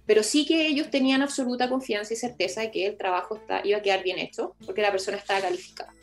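A Chilean woman gives an explanatory interview answer in a mid-pitched voice, with music behind her. She talks at length like a local official, often repeating a phrase to rework it. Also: fairly even intonation, very fast. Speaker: municipal official being interviewed.

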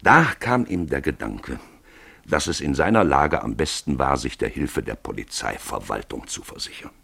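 Male narrator, deep, animated pitch, expressive literary storytelling, radio play, dry close sound. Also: normal speed, gravelly, breathy edge, dry recording.

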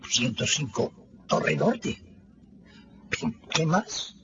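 An actor in a radio drama playing a frail, confused old doctor freed from prison, speaking in a halting, dazed way. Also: male voice, on the high side, sing-song, gravelly, fairly bright tone, dull audio, slight background noise, full character voice. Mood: dazed, vacant. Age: older.

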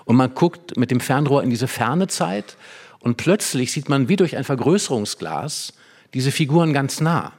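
A man speaking as an expert guest in a stage conversation, explaining reflectively and choosing phrases carefully with pauses. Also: medium pitch, lively intonation, darkish tone. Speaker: expert guest, dramaturg and writer. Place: hall with a live audience.

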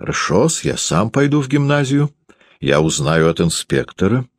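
A man voicing an excitable, indignant father in a storybook, shouting in outbursts. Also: deep voice, extremely slow, husky, no echo, full character voice. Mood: indignant protest. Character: hot-tempered, excitable short-legged father, indignant and blustering.

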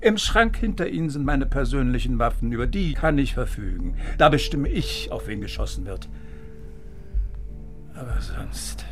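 An older East German general in a radio drama, weary and sardonic. His pitch swings widely through mocking questions and curt commands, with faint music underneath. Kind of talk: radio crime drama acting.

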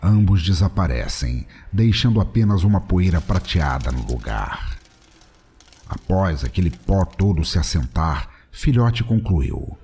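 A man's voice: low voice, big pitch swings, a little slow, warm tone, breathy edge. A man reading scripted narration for an audio drama.

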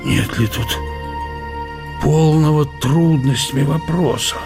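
An actor playing a brooding middle-aged Russian scientist of the eighteenth century in a radio drama, reflective and declamatory, with archaic, bookish diction. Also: male voice, on the high side, slow, over music. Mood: bitter musing.

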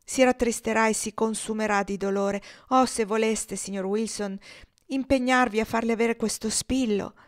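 A desperate young husband pleads in a story read aloud, as a woman voices him, his voice lifting a little higher and louder than the reader's own. He speaks with raw urgency and bitterness, and his lines often fall to a breath at their ends. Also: fairly even intonation, moderate pace, natural voice. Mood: pleading.

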